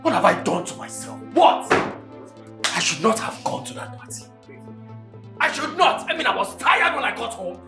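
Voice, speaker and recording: A frantic young man who has lost his mind, played by a woman, wailing and taunting by turns with a lively, swinging pitch. The sound is roomy, with faint music behind. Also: moderate pace. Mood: panicked. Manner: panicked wailing, repetitive. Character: frantic young man gone mad, chained.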